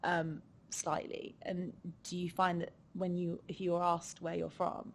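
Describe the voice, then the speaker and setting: A woman's voice: fairly low pitch, level tone, measured pace, a little hiss or hum. A young woman on a discussion panel, speaking reflectively and asking questions, with frequent fillers. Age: young adult. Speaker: panel member.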